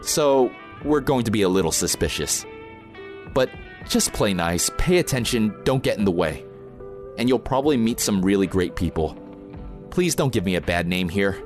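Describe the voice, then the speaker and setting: A man's voice: fairly low pitch, animated, normal speed, soft background music, natural voice. A man playing an experienced, protective protest organizer in an audio drama, plain-spoken, informal and matter-of-fact. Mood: practical, cautionary. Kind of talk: naturalistic audio drama acting.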